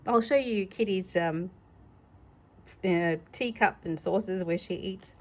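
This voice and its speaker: A woman's voice: medium pitch, slow pace, darkish tone. A woman reading a picture book aloud to a child, playfully exclaiming and chatting about the pictures. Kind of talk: animated read-aloud with chatty asides.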